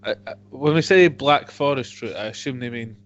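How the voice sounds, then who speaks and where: A man co-hosting a casual whisky podcast, dry and a bit hesitant, often trailing off quietly. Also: medium pitch, normal speed. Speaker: podcast co-host. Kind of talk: casual tasting chat. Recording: dull lo-fi home podcast audio.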